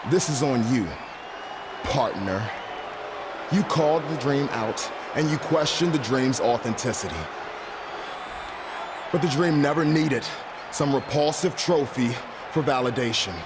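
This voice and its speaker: An actor playing an arrogant, flamboyant wrestler in a video game, talking grandly of himself in the third person. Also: male voice, mid-range voice, unhurried, long pauses, lightly acted. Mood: smug, accusing. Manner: theatrical, speaks of self in third person. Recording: loud crowd noise, arena.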